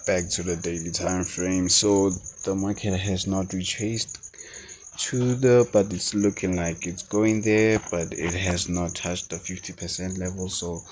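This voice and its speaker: A man's voice: deep voice, slight background noise, low-quality recording. A man from South Africa, not a native English speaker, thinking aloud as he walks through trading charts as a forex mentor. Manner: thinking aloud, halting with fillers and restarts. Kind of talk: screen-share trading walkthrough.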